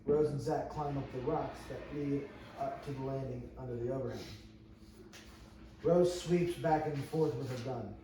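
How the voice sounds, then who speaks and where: A man's voice: medium pitch, fairly even intonation, moderate pace, dark tone. A man reading stage directions aloud at a script table read, as narrator. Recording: very echoey room, distant mic, noisy.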